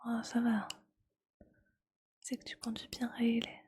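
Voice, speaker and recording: A woman speaking in a breathy ASMR whisper, chatting casually and with gentle teasing like a streamer talking to viewers. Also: fairly high pitch, completely flat delivery, a little slow.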